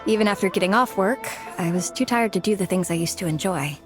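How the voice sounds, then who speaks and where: A woman's voice: mid-range voice, fast, lightly acted. A young woman playing an overworked, self-doubting office worker in a game cutscene, hesitant and confiding. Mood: weary, confiding. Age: young adult. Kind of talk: acted video game cutscene dialogue. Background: background music.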